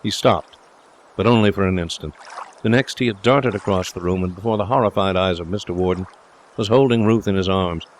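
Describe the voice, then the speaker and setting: A man's voice: low voice, a little fast, slight background noise. A man reading a story aloud as an audiobook narrator, in scripted narration.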